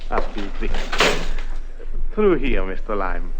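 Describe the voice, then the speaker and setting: Suave, menacing ambassador, muffled recording. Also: male voice, fairly low pitch, very animated. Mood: courteous, smooth. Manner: formal, courteous, measured. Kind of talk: 1950s radio drama acting. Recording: muffled, noisy old radio recording.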